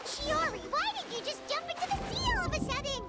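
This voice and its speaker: A woman voicing a tiny, excitable, childlike female fairy companion in a video game, squeaky and exclaiming. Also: brisk, full character voice. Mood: puzzled, alarmed. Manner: speaks of self in third person, exclaiming. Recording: music behind game dialogue.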